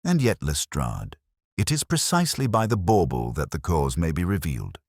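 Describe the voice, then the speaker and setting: A man reading the part of a gentleman detective from a Victorian audiobook tale. His voice is very deep and dry, with expressive rises and falls. He lectures with cool, formal precision. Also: normal speed, dry recording. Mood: coolly amused. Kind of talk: audiobook character dialogue.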